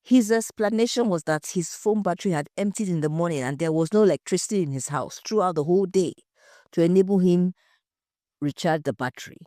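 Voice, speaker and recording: A woman's voice: fairly low pitch, fairly quick, warm tone, dry recording, clean studio sound, natural voice. A woman voicing an anxious, indignant husband accused of murder in an audio story, emphatic and defensive. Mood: angry, scornful.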